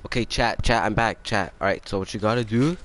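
A young man streaming a video game, chatting casually with his viewers in a relaxed, swearing manner. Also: on the low side, a little hiss or hum. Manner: slow, laid-back, swears often. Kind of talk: casual gaming chat.

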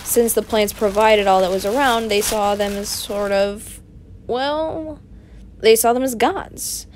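A woman playing a playful, excitable young male adventurer in an audio roleplay, chatty and expressive, affectionate with a partner. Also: mid-range voice, slow pace, slight background noise, close to an everyday voice. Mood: curious, playful. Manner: breezy, giggly, expressive, chatty.

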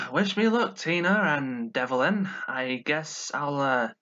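A man's voice: mid-range voice, slow pace, sharp tone, highs cut off. A man voicing an irritable, sulky, sardonic demon-summoner in amateur cartoon voice lines, muttering to himself in exasperation. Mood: plotting, resigned.

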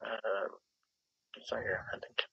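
A hesitant player speaks slowly and tersely in a casual game roleplay. The voice has a sharp edge, captured dry on a dull, lo-fi mic. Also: mid-range voice, level tone, vocal fry, breathy edge, no echo, whispered. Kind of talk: casual improvised Minecraft roleplay.

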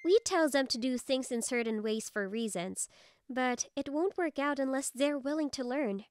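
A woman's voice: sits high, normal speed, noisy background, lightly acted. A woman playing a friendly, supportive young female office coworker in a manga video dub. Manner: encouraging, friendly.

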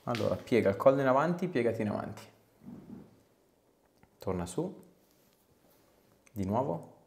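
A man's voice: medium pitch, expressive, normal speed, gravelly. A man, working as a chiropractor and giving a patient short instructions and questions in a small treatment room. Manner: short directive phrases and questions. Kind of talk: calm hands-on clinical instructions to a patient.

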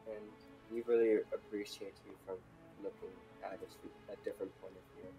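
A man's voice: sits low, flat delivery, a little fast, dark tone, soft background music, low-quality recording. A man playing a tentative, appreciative demon in an improvised anime roleplay.

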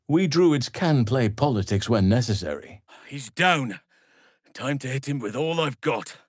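An actor playing a calm, wise elf druid in a video game, speaking in a measured, earnest and formal way. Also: male voice, medium pitch, no echo, studio quality, lightly acted. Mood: earnest, firm, then urgent. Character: calm, wise, burly elf druid man with an animal side. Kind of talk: video game character voice lines.

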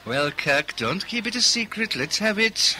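Casting: male actor as exuberant 1920s English radio engineer, high-pitched, swooping delivery, theatrical rambler. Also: very animated, measured pace, bright, forceful tone, slight background noise, heavily acted. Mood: cheerful, playful. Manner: rambling, theatrical, playfully hesitant. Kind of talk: radio play acting as a 1920s broadcaster.

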